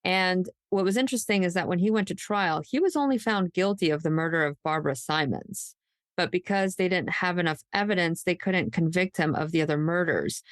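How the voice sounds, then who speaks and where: Low-voiced woman, dry close sound. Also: brisk, no echo. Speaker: true crime podcast co-host. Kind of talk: conversational true crime storytelling.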